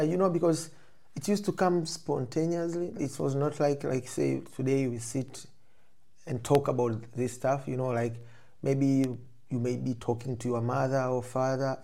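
A man with a Tanzanian accent speaks as an interview guest. His voice is relaxed and dark, with a noticeable creak at the edges. He talks conversationally and reflectively, rephrasing as he explains. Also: mid-range voice. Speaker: interview guest, photographer and filmmaker.

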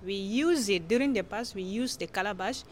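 Francophone Central African woman, faint background noise. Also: medium pitch. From Chad-born, grew up in northern Cameroon. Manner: halting, repeats and rephrases. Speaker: guest scholar being interviewed. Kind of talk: explanatory interview answers in non-native English.

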